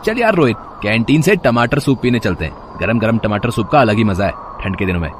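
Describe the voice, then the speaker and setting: A man's voice: fairly low pitch, lively intonation, brisk, natural voice. A man voicing an arrogant rich young college student who sneers and bullies in a moral story. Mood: mocking. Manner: sneering, haughty. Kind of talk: animated moral story dialogue. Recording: faint street noise behind.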